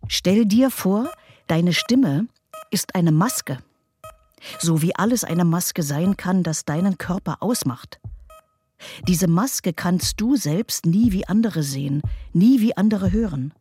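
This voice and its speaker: A woman reading a poetic text slowly and intimately, dropping to a whisper at times. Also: fairly low pitch, lively intonation, warm tone, faint music behind. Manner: slow, intimate, partly whispered. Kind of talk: scripted poetic narration.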